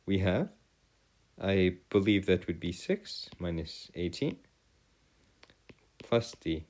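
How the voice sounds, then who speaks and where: A man with a fairly deep voice tutors through a worked problem. His intonation rises and falls widely from phrase to phrase. He speaks in formal, elaborate sentences and often stops to correct himself. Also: darkish tone. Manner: formal, wordy phrasing with frequent self-correction. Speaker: math tutor. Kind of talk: video lesson working through calculus problems aloud.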